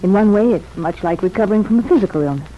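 A woman's voice: mid-range voice, expressive, fast, a little hiss or hum, close to an everyday voice. Woman acting a poised young patient, muffled old radio. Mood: earnest, reflective. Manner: formal, articulate, hesitant phrasing. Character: poised young female sanitarium patient, cultivated, convalescing, self-examining. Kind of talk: old-time radio soap opera acting.

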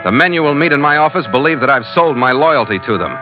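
Male lead, acted, hard-boiled skipper logging a sea adventure, clipped delivery, vintage muffled radio sound with loud score. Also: fairly high pitch, lively intonation, fast, lightly acted. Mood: measured, wary. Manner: clipped, terse log-entry delivery. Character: hard-boiled seafaring ship's captain narrating his adventure log. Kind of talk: 1940s radio drama narration. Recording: dull old radio recording, loud music behind.

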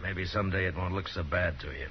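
A man playing a calm, commanding frontier marshal with a dry, unhurried manner in a 1950s radio Western. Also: low-pitched, a little hiss or hum, close to an everyday voice. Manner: dry, laconic, unhurried authority. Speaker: lead actor. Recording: muffled 1950s radio recording, crowd behind.

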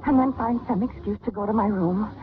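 A woman's voice: medium pitch, a little fast, natural voice. A woman acting as a desperate schemer in a vintage radio thriller. She is urgent and coaxing, pleading in a dramatic period style. The sound is tinny, with faint noise. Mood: anxious, pleading. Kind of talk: 1940s radio mystery acting. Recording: tinny, hissy old radio drama recording.

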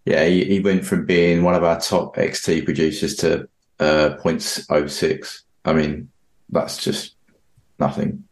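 A man with a very low-pitched voice discusses football as a podcast analyst. His talk is informal and conversational, and the recording has a clearly roomy echo around him. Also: level tone, unhurried. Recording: roomy, echoing sound.

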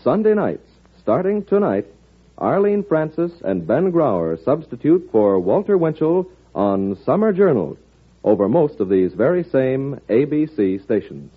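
A man's voice: medium pitch, big pitch swings, unhurried, husky. An American man announcing promotions on 1940s network radio, polished, formal and emphatic. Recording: tinny vintage radio broadcast.

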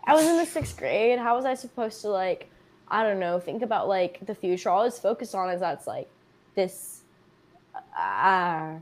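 A young woman chatting as a podcast guest in an expressive, talkative way, full of fillers and quick to laugh. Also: medium pitch, slight background noise. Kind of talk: casual chat.

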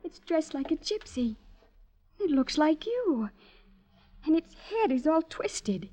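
An actress playing a lovestruck, devoted woman in a 1950s radio drama, with a breathy, dreamy, melodramatic delivery. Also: sits high, very animated, takes it slowly, mellow, full character voice. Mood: anxious, bewildered.

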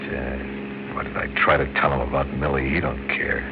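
An actor playing a tired, devoted working-class young husband in an American radio drama, plain-spoken and stammering when pressed, with an American accent. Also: male voice, extremely low pitch, level tone, normal speed. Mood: grumbling. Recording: muffled, noisy old radio recording.

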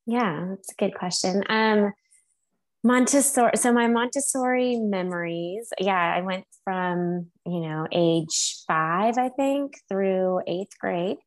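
A woman from Texas speaking as an interview guest, answering thoughtfully with many pauses and restarts. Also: mid-range voice. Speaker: guest, high school teacher. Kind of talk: casual conversational interview.

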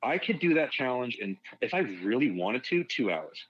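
A man's voice: mid-range voice, sing-song, moderate pace, breathy edge, dull audio. A man talking as a fitness guest on a casual podcast, frank and rambling, swearing freely.

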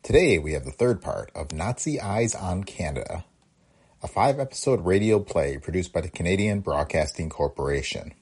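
A man's voice: low voice, darkish tone, slightly rough. A man hosting a podcast, reading a scripted introduction. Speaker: podcast host.

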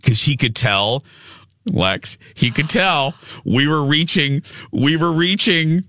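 A male radio host telling a story in casual chat, with a loud, big voice and a habit of cussing. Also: a little high, takes it slowly, no echo, studio quality. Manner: swears casually.

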